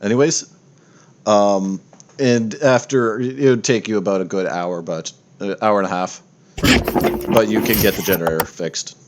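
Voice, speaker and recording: A man's voice: on the low side, normal speed, a little hiss or hum. A man narrating a tabletop game casually as game master, with informal, sweary phrasing.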